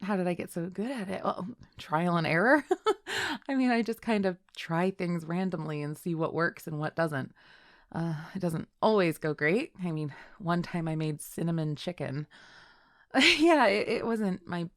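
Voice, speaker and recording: A woman's voice: on the low side, moderate pace, no echo, studio quality, natural voice. A woman playing a chill, teasing young best friend in a casual, intimate roleplay audio, chatting playfully with little hesitations. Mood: warm, chatty. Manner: laid-back, playful, giggly, hesitant little fillers.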